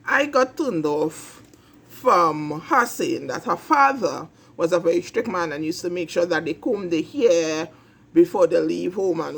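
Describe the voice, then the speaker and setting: A Trinidadian man with a raised pitch and a gravelly, husky edge to his voice. He hosts his own show and delivers a blunt commentary monologue, hammering each point by repeating it and swearing freely. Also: fairly bright tone. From Trinidad and Tobago. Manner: emphatic, repetitive, blunt and profane. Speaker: host of an online talk show.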